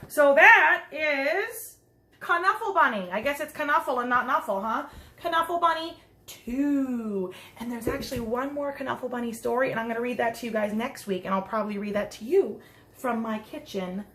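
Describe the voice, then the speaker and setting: A woman reading a picture book aloud to young children, expressive, with chatty asides and simple questions to her listeners. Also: on the high side, normal speed. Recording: roomy echo, some background noise.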